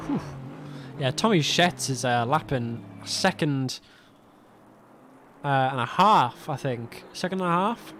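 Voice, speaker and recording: A young man commentating a sim race alone, chatty and self-mocking, laughing often, his voice going a little hoarse. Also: mid-range voice, expressive, slow, long pauses, a bit bright, a little hiss or hum. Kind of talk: solo sim racing commentary. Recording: game car sounds behind.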